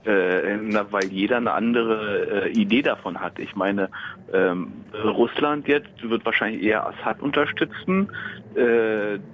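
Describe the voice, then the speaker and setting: A man's voice: fairly low pitch, a little slow, muffled recording, slight background noise. A man calling in to a radio show, talking casually and a bit hesitantly, with a wry edge. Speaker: caller. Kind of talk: radio call-in.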